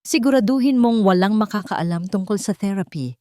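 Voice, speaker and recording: A woman's voice: medium pitch, brisk, warm tone, dry recording, studio quality, close to an everyday voice. A Filipino woman voicing a wealthy, secretive older father in an audio story, giving firm, guarded orders.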